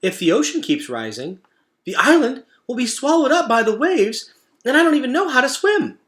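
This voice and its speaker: A man's voice: very high-pitched, very animated, moderate pace, fairly bright tone, reverberant, pitched above the performer's own voice, heavily acted. A man voicing a small, anxious, chattering animal from a storybook, with a fretful, questioning manner. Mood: worried.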